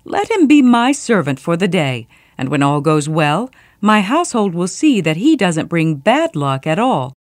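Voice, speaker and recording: A woman's voice: on the low side, very animated, normal speed, few pauses, no echo, clean studio sound. A woman voicing an imperious, easily exasperated emperor in a storybook tale, with a commanding, declamatory manner. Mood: reasonable.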